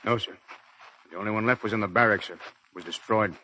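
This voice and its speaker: An actor playing an earnest, respectful Marine subordinate in 1940s radio style. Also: male voice, fairly low pitch, quick pace, bright, forceful tone, rough recording. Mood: respectful, subdued. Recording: old radio recording, faint noise.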